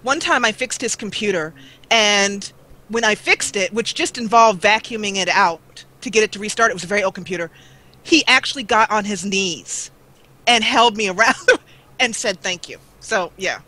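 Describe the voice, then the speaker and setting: Bright, playful woman chatting as stream guest. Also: mid-range voice, moderate pace, rough recording. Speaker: guest, gaming YouTuber. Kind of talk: casual chat. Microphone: online call.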